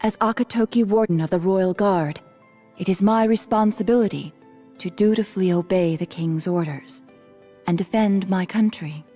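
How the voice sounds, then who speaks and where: A woman's voice: medium pitch, normal speed, dark tone, faint music behind, lightly acted. An actress playing a stern, dutiful female royal guard commander in a video game, formal and solemn. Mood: solemn resolve. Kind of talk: dramatic video game acting.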